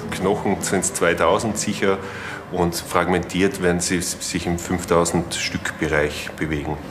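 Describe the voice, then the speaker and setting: A man's voice: deep voice, level tone, measured pace. A man giving an unscripted interview as a forensic expert. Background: background music.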